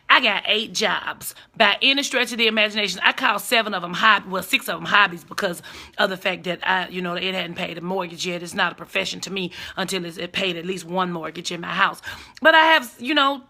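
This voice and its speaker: Hoarse lady in her 40s on a phone live stream, sassy and blunt, bright edgy voice. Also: a little high. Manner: blunt, sassy, talks straight to viewers. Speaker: advice host on a live stream. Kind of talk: casual live-stream advice chat.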